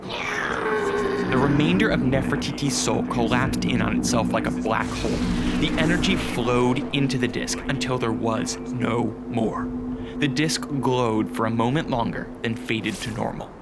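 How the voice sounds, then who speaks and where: An actor playing a grave narrator of a monster adventure, telling it in solemn, scripted radio drama style. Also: male voice, mid-range voice, very animated, unhurried, loud music behind the voice. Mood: grave, suspenseful. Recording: loud dramatic score behind.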